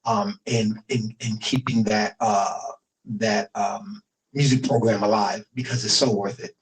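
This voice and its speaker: A middle-aged man, a guest musician in a remote interview, talking in a relaxed way and often repeating himself with "you know". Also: lowish voice, unhurried, a little hiss or hum, rough recording. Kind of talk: casual interview chat.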